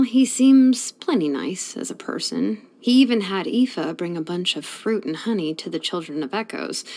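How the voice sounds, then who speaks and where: An actress playing a laid-back, wry young female blacksmith in a fantasy video game, talking conversationally with musing pauses. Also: medium pitch. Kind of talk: scripted game character voice lines, conversational.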